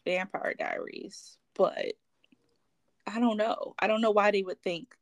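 A woman's voice: mid-range voice, a little slow, no echo. A woman co-hosting a casual talk podcast, sounding exasperated and often trailing off. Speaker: podcast co-host. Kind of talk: casual chat.

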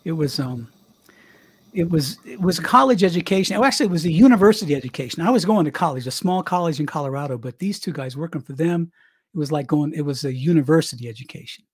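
An older man, a former radio DJ, telling stories in a chatty, animated way with a young-sounding voice. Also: fairly high pitch, fast talker, breathy edge, no room sound, clean studio sound. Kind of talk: casual storytelling interview.

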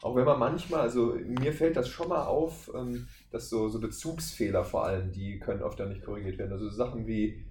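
A man's voice: medium pitch, brisk, a little mellow. A man speaking as a podcast guest, explaining things casually and at length in a discursive, circling way. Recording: echoey room, faint background noise.

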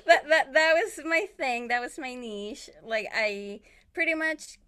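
A woman's voice: high-pitched, animated, measured pace, sharp tone, clean tone. A woman from Chile whose native language is Spanish, chatting casually about herself in a giggly, rambling way as an interview guest. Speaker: podcast guest, illustrator and artist. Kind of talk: casual chat, telling own story.

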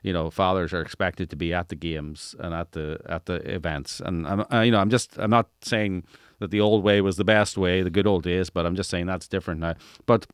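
A man's voice: deep voice, fairly even intonation, a little fast, smooth, no room sound, clean studio sound. A middle-aged man, chatting as an interviewer in a rambling, anecdotal way. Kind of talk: casual conversational interview.

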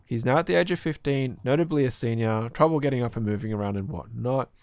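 A hoarse man co-hosting a podcast, chatting casually and rambling with fillers. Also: medium pitch, fast, a little mellow, no echo, clean studio sound. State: hoarse, sore throat. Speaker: podcast co-host.

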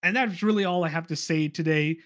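A man with a notably high voice hosts a solo podcast. He talks casually and informally, giving exasperated opinion commentary. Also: a little fast. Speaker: podcast host.

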